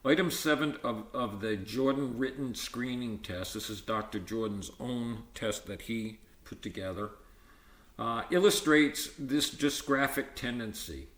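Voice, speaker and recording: A man reading an educational textbook aloud in a measured, explanatory way, sometimes stumbling and correcting himself with informal asides. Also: mid-range voice, fairly bright tone. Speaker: volunteer-style book reader. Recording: roomy, echoey sound.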